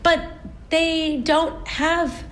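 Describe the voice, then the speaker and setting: A woman's voice: extremely high pitch, level tone, extremely slow, a bit bright, a little hiss or hum. A woman in her forties from the United States, a stage performer being interviewed, telling her family story earnestly with lots of restarts. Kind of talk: casual interview chat.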